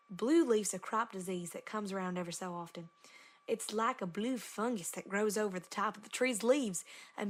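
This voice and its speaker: A woman's voice: medium pitch, natural voice. A woman voicing a plain-spoken, hardworking cartoon farm mare with a Southern US accent and folksy manner. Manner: folksy, matter-of-fact. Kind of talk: cartoon character letter reading.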